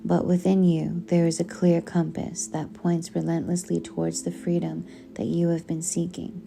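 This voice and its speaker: Slow, low-pitched woman reading with creaky voice. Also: warm tone. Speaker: book reader. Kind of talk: slow soothing audiobook-style reading. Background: background music.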